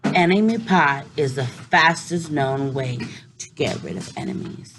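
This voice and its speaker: A hushed, matter-of-fact storybook father sharing a secret, as a woman reads him aloud. The voice sits low and swings with lively expression. Steady hiss and clicks run under the recording. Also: a little slow, creaky, exaggerated voice, low-quality recording. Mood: secretive. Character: calm, knowing father sharing a secret recipe.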